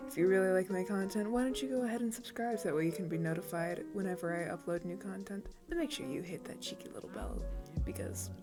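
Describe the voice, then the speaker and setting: A man's voice: sits high, quick pace, over music. A man chatting in an upbeat, casual way as he addresses his channel's listeners as an audio roleplay creator.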